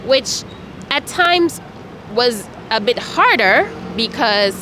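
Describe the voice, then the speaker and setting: A woman's voice: a little high, animated, slow pace. Young woman, street interview. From Saint Vincent and the Grenadines. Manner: friendly, hesitant with fillers, laughs often. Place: city street outdoors. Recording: street noise. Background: traffic and street noise.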